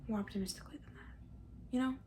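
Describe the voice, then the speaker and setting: Soft breathy asmr girl, woman as comforting camp counselor, high voice, rambles gently, kinda hesitant. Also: very fast. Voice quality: soft, hushed, often breathy near-whisper. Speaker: ASMR roleplay creator. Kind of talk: soft-spoken ASMR, rambling casual chat.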